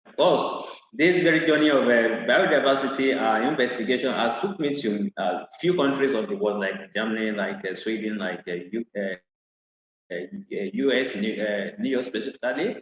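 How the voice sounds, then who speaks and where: A man introducing his research on a video call, earnest and halting with frequent pauses. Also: medium pitch, slight background noise. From Nigeria. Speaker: panelist, research scientist. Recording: echoey, dull video-call audio.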